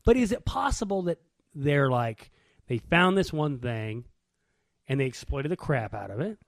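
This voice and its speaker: A man's voice: medium pitch, expressive, moderate pace, vocal fry, no room sound, clean studio sound. A man co-hosting a podcast with casual banter, talking in a blunt, informal way. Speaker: podcast co-host.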